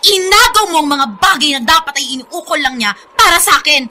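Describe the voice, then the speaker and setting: A Filipino actress playing a jealous, menacing young heiress in a radio drama. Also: female voice, high-pitched, animated, brisk, pushed and bright, full character voice. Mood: furious, menacing.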